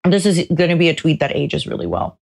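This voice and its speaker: A woman's voice: low-pitched, sing-song, a little fast, vocal fry, a little mellow. A woman co-hosting a casual podcast from a hotel room, bubbly and giggly, with a slightly stuffy nose. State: a little stuffy-nosed. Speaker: podcast co-host. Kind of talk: casual chat. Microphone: different travel microphone.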